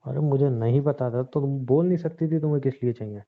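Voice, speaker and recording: A man's voice: medium pitch, fast, lightly acted. A man playing a defensive husband in an audio drama, swinging between grudging apology and accusation in conversational speech. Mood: indignant, heated. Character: defensive, short-tempered husband, grudgingly apologetic, nagging his wife. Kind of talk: Hindi audio drama dialogue, conversational acting. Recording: muffled, phone-like narrow sound.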